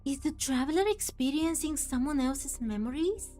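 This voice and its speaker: A woman's voice: sits high, animated, moderate pace, warm tone. A woman giving casual game playthrough commentary, wondering aloud and questioning what happens. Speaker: game streamer. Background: game music.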